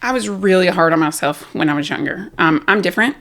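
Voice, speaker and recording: A talkative woman with a Southern US accent, speaking candidly and loudly as an interview guest. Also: lowish voice, lively intonation, fast. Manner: loud, talkative, self-deprecating. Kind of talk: candid personal conversation.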